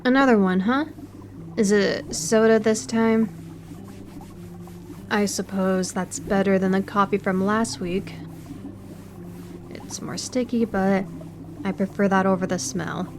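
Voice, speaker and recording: A woman in an audio roleplay playing an educated, politely condescending aquarium worker who speaks in a measured, precise way. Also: mid-range voice, steady tone, noisy background, close to an everyday voice. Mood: conversational, mildly weary. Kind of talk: audio roleplay acting, one-sided conversation.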